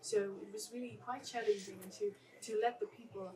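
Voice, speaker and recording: A woman's voice: mid-range voice, fairly even intonation. Upbeat woman from Germany, distant with crowd. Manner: upbeat, laughs often, halting restarts. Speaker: actress and stunt woman on a panel. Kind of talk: casual panel talk. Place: panel stage at a festival. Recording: distant mic with audience noise.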